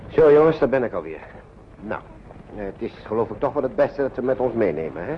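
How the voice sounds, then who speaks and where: An actor playing a kindly, worried uncle in a wartime radio play, talking to children in a soothing, coaxing, hesitant way. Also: male voice, mid-range voice, sing-song, fast talker, lightly acted. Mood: concerned, coaxing. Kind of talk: Dutch radio play acting. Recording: muffled, noisy old radio recording.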